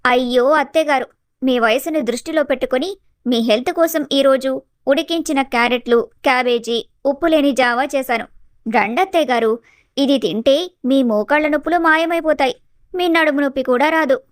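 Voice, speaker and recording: A woman playing a sly, sweetly bossy young daughter-in-law in an animated family story. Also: high-pitched, moderate pace, dry recording, clean studio sound, close to an everyday voice. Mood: mock-sweet. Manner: mock-sweet, solicitous.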